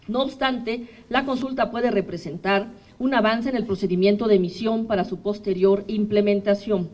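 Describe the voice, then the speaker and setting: A woman in her thirties with a Mexican accent, reading a formal statement at a podium microphone for a human rights commission, measured and steady. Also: fairly high pitch. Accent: Mexican Spanish. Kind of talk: formal statement read aloud. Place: indoor press room, at a podium.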